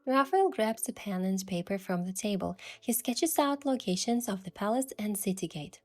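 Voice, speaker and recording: A woman voicing a curious young adventurer heroine, telling the story in the first person with earnest, expressive delivery. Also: mid-range voice, normal speed, close to an everyday voice. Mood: narrating, gentle. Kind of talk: scripted first-person narration and dialogue. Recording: music behind the voice.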